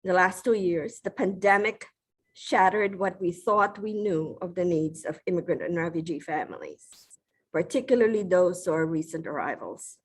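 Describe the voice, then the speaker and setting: A middle-aged woman from the Philippines delivers a prepared acceptance speech. Her voice sits a little low for a woman, and she speaks in a measured, formal manner, now and then stopping to restart a phrase. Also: lively intonation, slightly rough. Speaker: award recipient giving a speech.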